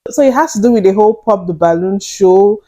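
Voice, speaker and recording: A young woman vlogger giving casual, animated commentary straight to her viewers, often incredulous. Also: medium pitch, a little fast. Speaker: reaction vlogger.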